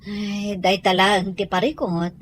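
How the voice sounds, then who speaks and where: A woman's voice: mid-range voice, flat delivery, measured pace, slightly rough. A woman playing a mild, obliging young person speaking respectfully in an audio drama. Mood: thoughtful. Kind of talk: Ilocano audio drama acting.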